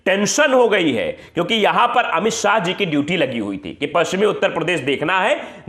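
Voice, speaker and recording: A man's voice: a little high, fairly bright tone. A man presenting unscripted political commentary in an emphatic, colloquial manner, often posing rhetorical questions. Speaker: news presenter. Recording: echoey room.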